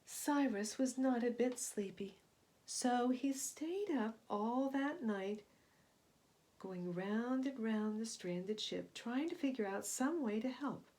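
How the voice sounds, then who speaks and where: A woman reading a picture book aloud to young children in expressive storytelling narration. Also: fairly high pitch, slow pace.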